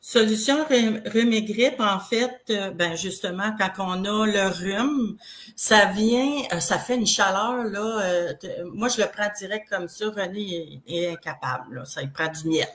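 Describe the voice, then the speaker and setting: A woman's voice: medium pitch, a bit bright. Congested Québécois woman. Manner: rambling, halting, full of restarts. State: has a cold, congested. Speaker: guest sharing product testimonial. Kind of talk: casual live chat.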